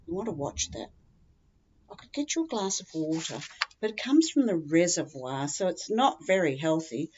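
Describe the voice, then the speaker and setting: A woman's voice: fairly low pitch, a little mellow, slightly rough, highs cut off, heavily acted. A woman with an Australian accent playing a chatty, tactless older woman who rambles on obliviously in a humorous monologue. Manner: rambling, matter-of-fact, oblivious, opinionated.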